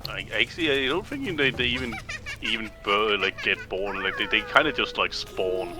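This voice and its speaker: A man's voice: fairly low pitch, lively intonation, moderate pace, music in the background. A man chatting casually as co-commentator on a game playthrough, rambling and repeating his words as he goes. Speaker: gaming stream co-commentator.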